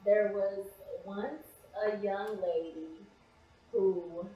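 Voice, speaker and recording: A woman's voice: medium pitch, fairly even intonation, extremely slow, dull audio. A woman speaking casually as an interview guest, reflective and a little hesitant, repeating phrases for emphasis. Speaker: interview guest, playwright and actress. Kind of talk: casual personal conversation. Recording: very echoey, reverberant room.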